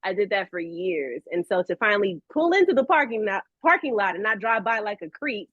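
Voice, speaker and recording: A woman's voice: medium pitch, sing-song, fairly quick, a bit bright, no echo. A woman talks casually as an interview guest, with a mid-range voice and a candid, enthusiastic manner. The recording is very dry and slightly dull. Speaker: guest, voice actress.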